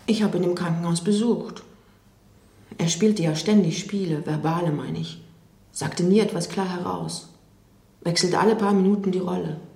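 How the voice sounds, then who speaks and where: An actress playing a reflective woman recalling a difficult man in a radio drama interview, speaking in a measured way. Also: fairly low pitch, steady tone, vocal fry, dark tone, reverberant.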